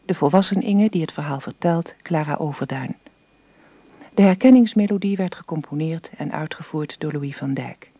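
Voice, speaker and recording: A woman's voice: sits low, normal speed, warm tone, slightly rough, dry recording. A woman announcer reading scripted credits for a radio drama series.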